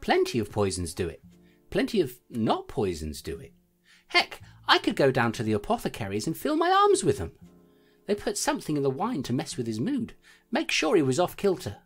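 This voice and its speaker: A woman's voice: low-pitched, wildly swooping pitch, normal speed, breathy edge, faint music behind, heavily acted. A woman playing a determined constable who talks bluntly and reasons things out aloud.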